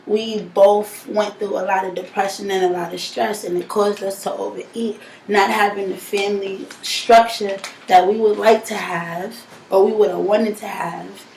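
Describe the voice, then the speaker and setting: Husky New woman in her twenties. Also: mid-range voice, steady tone, moderate pace, few pauses, darkish tone. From New York City. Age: 20s. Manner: earnest, heartfelt, talks over her partner. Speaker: aspiring musician. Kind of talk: casual appeal to camera. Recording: echoey room from far off with faint noise.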